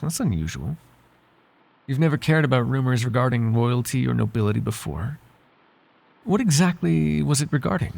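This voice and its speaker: A man playing a devoted, flirtatious butler with a secret in an intimate ASMR roleplay, speaking softly in polite, formal phrases with halting hesitations. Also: mid-range voice, lively intonation, creaky. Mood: uneasy curiosity. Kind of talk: ASMR roleplay voice acting, intimate one-sided dialogue.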